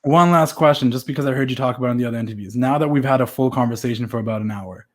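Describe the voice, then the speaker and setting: A man with a West Coast Canadian accent hosting a casual interview podcast, rambling and restarting his sentences. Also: medium pitch. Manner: quick, rambling, restarts a lot.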